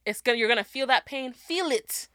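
A young woman co-hosting a casual podcast, animated and laughing often as she gives advice point by point. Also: on the high side, fairly quick, dry recording, studio quality. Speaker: podcast co-host. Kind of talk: casual chat.

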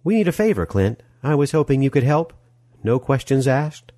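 Man acting as young woman, high lilting pitch, bold, teasing, snappish, audiobook character, dry sound. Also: big pitch swings, normal speed, warm tone, no room sound. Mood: sweetly brisk.

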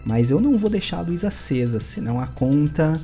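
A man's voice: a little high, expressive, fairly quick, mellow. A man voicing a stern but caring father who is putting his young son to bed and speaks firmly and reassuringly. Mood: firm, fatherly. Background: faint music.

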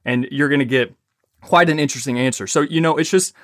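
A man's voice: mid-range voice, fast talker, fairly bright tone, dry recording, clean studio sound. A man hosting a podcast, talking informally and agreeably as he interviews a guest in a casual, conversational way.

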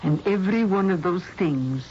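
An actress playing a shrewd, self-assured spirit medium in old-time radio drama style. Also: female voice, lowish voice, expressive, moderate pace, natural voice. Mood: calmly self-assured. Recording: dull radio recording, faint music behind.

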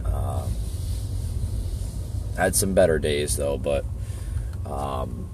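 A hoarse man hosting a podcast, talking casually with a halting manner and many fillers. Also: a bass voice, darkish tone, a little hiss or hum. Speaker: podcast host. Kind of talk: casual talk.

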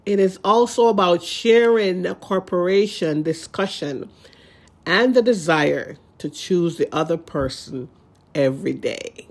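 A blogger with a stuffy, congested nose and a nasal sound, reading a post aloud and then explaining it in halting, repetitive speech. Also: mid-range voice, animated. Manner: halting and repetitive when speaking off the page.

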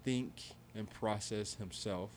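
A man's voice: fairly low pitch, takes it slowly, a little mellow, slight background noise. A man in his thirties co-hosting a conversational discussion, earnest and reflective, often restarting his sentences. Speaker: podcast co-host.

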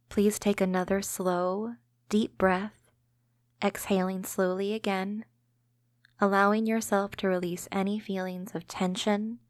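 A woman hosts a guided relaxation, reading scripted imagery in a calming, measured manner. Her medium-pitched voice stays quite level, with little rise or fall. The sound is dry, free of room echo. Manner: gentle, calming, measured delivery. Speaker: host and narrator of a guided meditation podcast.

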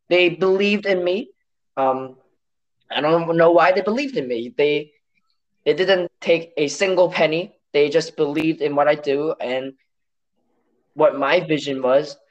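A man from Hong Kong whose first language is Cantonese, speaking as an interview guest in a measured, reflective way with some restarts. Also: on the high side, dull audio. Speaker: guest, science story editor.

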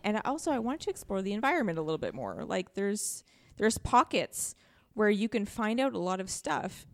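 A woman talks casually as a co-host in a video game discussion. Her voice sits at a middle pitch, and she gives her opinions in a frank, emphatic, conversational manner. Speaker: podcast guest co-host.

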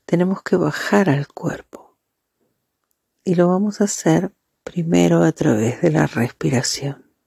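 Very breathy, slow meditation guide. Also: medium pitch, warm tone, dry recording, studio quality. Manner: deliberate, with marked pauses.

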